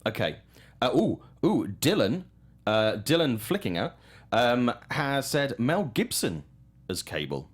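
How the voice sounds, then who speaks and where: A man's voice: medium pitch, big pitch swings, measured pace, breathy edge. A man hosting a casual film talk show, opinionated and enthusiastic, with some swearing. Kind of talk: casual entertainment discussion.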